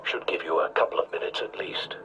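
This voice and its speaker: A man's voice: a little fast, a bit bright, lightly acted. A man acting a serious, determined ally speaking over comms in a video game, clipped in manner.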